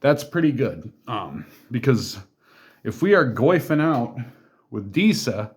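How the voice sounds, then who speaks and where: A man's voice: medium pitch, sing-song, slow pace, husky. A man in a casual deck walkthrough who reads card text aloud, then chats loosely about each card, with fillers and asides along the way. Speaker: card game deck reviewer.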